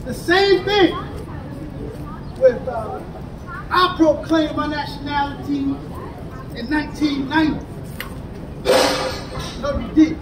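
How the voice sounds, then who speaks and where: American man, high-pitched street preacher, emphatic call-and-response, recorded far off amid a crowd and traffic. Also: slow pace, pauses often. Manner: emphatic, rising to shouts, asks the crowd to respond. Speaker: street teacher. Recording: echoey and distant, with crowd and traffic. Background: crowd responses and passing vehicles.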